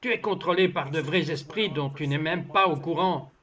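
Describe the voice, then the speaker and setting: Zealous young friend, read by a man, urgent, insistent, higher pitch. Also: moderate pace, sharp tone, highs cut off.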